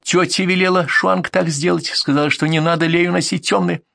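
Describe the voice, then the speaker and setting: A man's voice: high-pitched, steady tone, normal speed, creaky, sharp tone, no echo, voice pushed up, exaggerated voice. A small artless girl in a storybook recording a male reader's put-on voice, raised and childlike, speaking simply in a dry booth. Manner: simple childlike phrasing, refers to self by name. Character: innocent, artless little girl. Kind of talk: dramatised audiobook dialogue.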